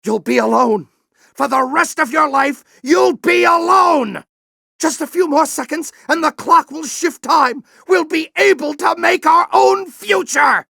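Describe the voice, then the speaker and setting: A man playing a grizzled older alien warrior general in a video game, with a gruff, commanding, theatrical delivery and a fanatical intensity. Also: very high-pitched, a little slow, bright, forceful tone, no echo, shouting, studio quality, heavily acted. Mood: desperate, furious. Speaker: military commander and mentor. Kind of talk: video game voice acting, combat barks and dramatic speeches.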